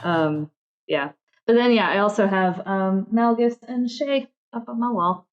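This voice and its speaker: A woman's voice: mid-range voice, measured pace, lo-fi sound. A woman talking casually as an interview guest about her creative work, often slipping into quoted asides. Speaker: interview guest, game narrative director. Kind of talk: casual chat.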